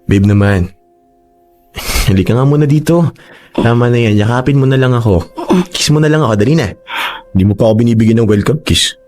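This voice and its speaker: A man's voice: medium pitch, a little fast, long pauses, a little mellow, natural voice. A man acting as an affectionate young college student and jilted boyfriend in an emotional, pleading Filipino radio drama style. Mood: teasing, coaxing. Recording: music behind the voice.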